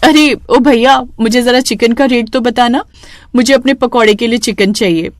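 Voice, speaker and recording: A woman's voice: on the high side, moderate pace, no echo, studio quality, natural voice. A woman voicing a spirited, kind young street vendor in a cartoon story, earnest and chirpy. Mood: businesslike.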